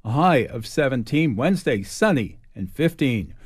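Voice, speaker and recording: A man's voice: mid-range voice, lively intonation, moderate pace, slightly rough, no room sound, clean studio sound. A man reading scripted news as a radio anchor.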